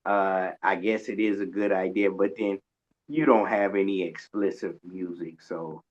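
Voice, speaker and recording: A man hosting a radio interview, chatting casually with halting, hesitant phrasing. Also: sits low, steady tone, muffled recording. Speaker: radio host.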